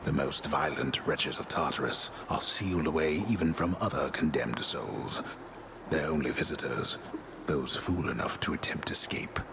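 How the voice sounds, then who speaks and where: A grave underworld narrator whose voice sits extremely low. He speaks in a hushed, measured way, like a storyteller in a game, while loud sound effects rumble behind him.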